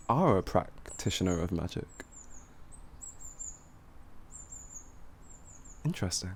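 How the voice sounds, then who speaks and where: Man acting a cocky, low-voiced fantasy bandit. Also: a little mellow. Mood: businesslike, curious.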